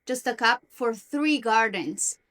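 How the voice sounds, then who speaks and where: A woman's voice: a little high, slow pace, fairly bright tone. A young woman from Argentina whose first language is Spanish, talking earnestly to camera about her own life in a self-help vlog. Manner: earnest, confiding, with a few restarts. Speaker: self-development vlogger. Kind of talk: personal talk to camera.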